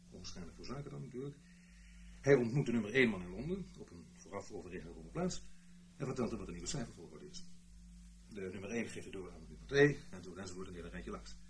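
An actor playing an earnest British intelligence officer, giving a formal, measured explanation in a Dutch radio play. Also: male voice, fairly low pitch, a little mellow, slight background noise. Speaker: intelligence briefer.

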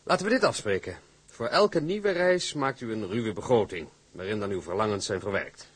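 A man playing a formal, calculating shipping company boss in a radio drama, speaking in a measured, businesslike way. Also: lowish voice, expressive, slightly rough. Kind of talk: Dutch radio drama acting.

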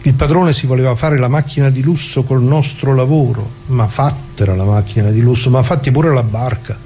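An actor playing a nostalgic, proud older working-class communist in a radio theatre monologue, talking colloquially and haltingly, repeating his words with earnest feeling. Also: mid-range voice, fairly even intonation, mellow, a little hiss or hum, lightly acted. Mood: proud, wistful.

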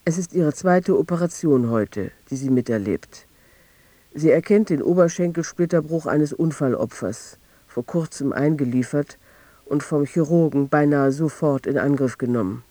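A man an actor narrates a radio drama in a mellow, fairly light-pitched voice. He is measured and detached, recorded very dry, like someone calmly reporting on strangers. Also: no room sound, lightly acted. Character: detached male narrator noting times, places and people.

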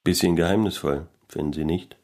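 An actor playing a disillusioned middle-aged private detective in a radio play, laconic and dryly sardonic, narrating in noir style. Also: male voice, low voice, steady tone, a little fast, a little mellow, dry recording, clean studio sound. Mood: dry, guarded.

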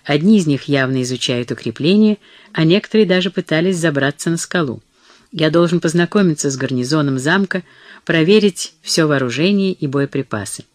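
A reader playing a weary medieval knight who speaks softly but firmly to his wife and his mother, in a storybook reading. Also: on the high side, fairly quick, dry recording, natural voice. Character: weary knight husband, gentle but firm.

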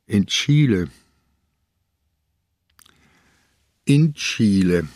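Slow, low-voiced old inspector. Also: male voice, lively intonation, a little mellow, no echo, studio quality. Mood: brooding, insistent. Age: older. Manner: slow, deliberate, insistent. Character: old, sick, stubborn male police inspector. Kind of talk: German radio crime drama acting.

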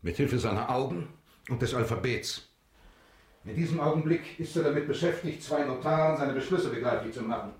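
An actor in a German radio drama playing a stern, embittered, middle-aged patriarch and prosecutor, proud, rigid and formal. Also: male voice, mid-range voice, brisk, echoey room, lightly acted. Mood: gloomy, subdued. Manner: formal, severe.

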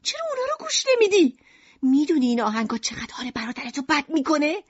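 A shrill, melodramatic mother who wails and scolds at a high, piercing pitch. The audio is dull and lo-fi. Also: very animated. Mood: scolding. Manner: shrill scolding with wailing cries.

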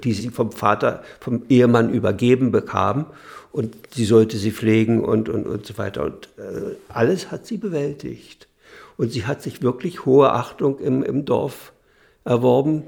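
Gravelly older man, halting reminiscence. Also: medium pitch, darkish tone. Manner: halting, searching, emphatic repetitions. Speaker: interviewee recalling his parents. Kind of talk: spontaneous reminiscence.

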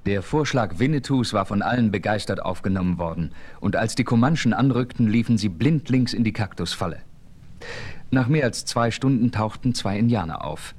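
A man playing a frontiersman hero who narrates his adventure in a measured, authoritative way in a German radio play. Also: on the low side, lively intonation, husky. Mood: narrating.

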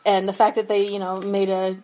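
Young woman cohosting a pod from home, giggly, says like a lot, normal pitch. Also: monotone, a little fast, warm tone. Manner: chatty, giggly, lots of fillers. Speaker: podcast co-host. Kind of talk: casual chat.

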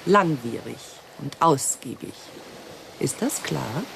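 A woman's voice: extremely low pitch, animated, slow pace, vocal fry, fairly bright tone, a little hiss or hum, heavily acted, poor audio. An actress playing a cold, greedy female villain in a radio play, giving orders with sardonic, mock-polite menace. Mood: cold, commanding.

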